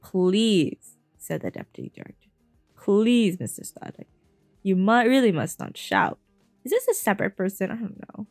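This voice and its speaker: A woman voicing a smooth, evasive older male official who talks slowly and overly politely. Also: medium pitch, expressive, darkish tone, dry recording, soft background music, natural voice. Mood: placating.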